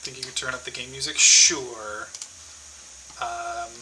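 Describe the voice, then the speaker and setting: A man's voice: mid-range voice, steady tone, a little slow, background noise. A man streaming a game, chatting casually to viewers and thinking aloud with many fillers and restarts.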